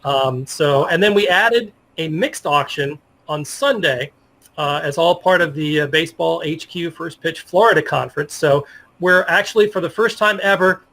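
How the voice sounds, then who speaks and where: A middle-aged man speaking as an interview guest in a casual, halting, self-effacing way about sports. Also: on the high side, fairly bright tone.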